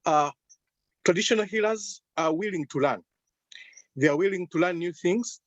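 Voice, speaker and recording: A man from Uganda speaking slowly as a panelist, joining a remote call from inside a car. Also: high-pitched, dry recording. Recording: dull, dry remote call audio.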